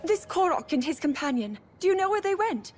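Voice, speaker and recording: A woman playing an earnest, gentle and resolute young princess in a video game. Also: very high-pitched, sing-song, brisk. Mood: earnest, curious. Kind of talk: video game cutscene voice acting. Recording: music behind the voice.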